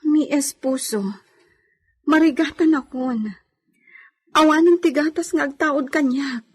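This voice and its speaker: An actress playing an anxious, pleading hacienda wife in a radio drama, with an earnest, plaintive delivery. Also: female voice, high voice, a little slow. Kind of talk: Ilocano radio drama acting.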